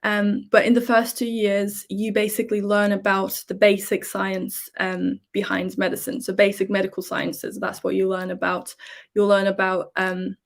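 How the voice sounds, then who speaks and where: A woman's voice: mid-range voice, monotone, normal speed, gravelly. A woman in her twenties from Turkey, a medical student explaining her path in a casual interview with many fillers.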